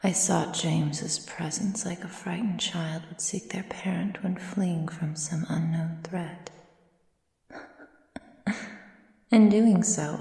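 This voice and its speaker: A woman playing an ancient, proud, possessive aristocratic vampire in a soft, breathy ASMR roleplay with formal, measured delivery. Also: fairly low pitch. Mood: brooding, hushed. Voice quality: breathy, soft, often near whisper. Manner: slow, formal, measured, imperious. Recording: roomy sound with long reverb.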